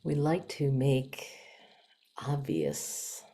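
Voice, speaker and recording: A woman leading a guided meditation in a soft, gentle manner, with long pauses and some breathiness. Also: very deep voice, sing-song.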